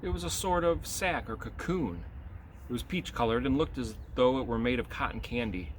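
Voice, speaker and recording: A man's voice: medium pitch, normal speed. A man talking casually and reading a children's book aloud in an encouraging way, like a teacher, on an outdoor patio. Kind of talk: casual talk and expressive read-aloud. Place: outdoors on a home back patio. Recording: outdoor home recording, faint background noise.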